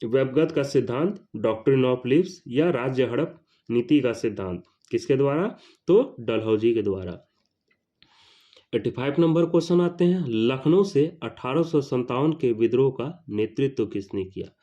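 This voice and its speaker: A man's voice: mid-range voice, lively intonation, a little slow, warm tone, muffled recording. A man teaching an exam-prep lesson, reading out questions and answers in a didactic, repetitive way. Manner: didactic, repeats phrases for emphasis.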